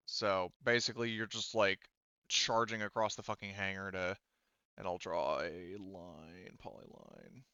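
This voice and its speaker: A man's voice: low voice, expressive, a little slow, vocal fry, bright, forceful tone, smooth, no room sound, lo-fi sound. A man acting as game master for a tabletop game, talking casually with swearing and explaining the rules.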